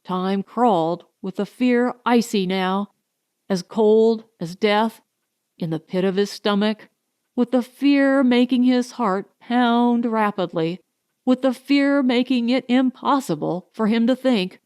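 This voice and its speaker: A woman reading a science fiction audiobook as a dramatic narrator, with deliberate, suspenseful, weighted phrasing. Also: on the high side, a little mellow, clear, resonant tone, no room sound, clean studio sound.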